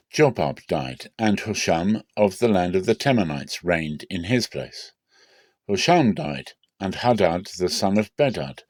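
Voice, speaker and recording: A man narrates scripture in a low voice with a dry, close sound. His delivery is measured and deliberate, shaped as a dramatic reading. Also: a little mellow, dry recording. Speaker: Bible reader.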